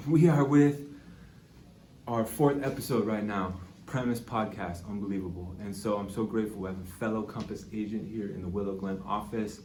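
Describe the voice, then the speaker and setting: A young adult man hosting a podcast in a casual, enthusiastic interview chat, asking questions and reacting with excitement. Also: fairly low pitch, moderate pace, distant mic. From Bay Area, California. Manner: enthusiastic, exclaiming. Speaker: podcast host. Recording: echoey room with background noise.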